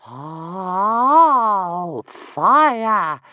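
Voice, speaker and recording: A man voicing a small, cross, excitable pet dragon that squeals in drawn-out baby talk for a storybook. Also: high voice, clear, resonant tone, no echo, muffled recording, voice pushed up, full character voice. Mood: excited. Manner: broken baby-talk grammar, drawn-out words.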